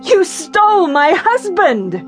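A woman's voice: very high voice, sing-song, slow pace, soft background music, exaggerated voice. A woman playing an anxious, easily wounded soap opera actress, melodramatic and hesitant, in an audio drama. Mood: outraged. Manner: breathy sighs, hesitant, melodramatic.

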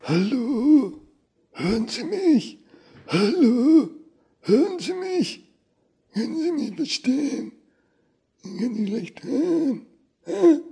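A man's voice: extremely high pitch, sing-song, slow, gravelly, long pauses, dark tone, slightly rough, exaggerated voice. A man playing a confused, dreamy caller who cannot hear, puzzled and repetitive, in an absurd comic telephone sketch. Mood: puzzled, insistent.

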